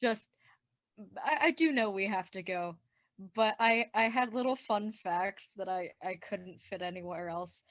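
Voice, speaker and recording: A woman's voice: mid-range voice, a little slow, a bit bright, no echo, highs cut off. A woman chatting casually in a group commentary, explaining things in a rambling, self-correcting way. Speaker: podcast creator and voice actor.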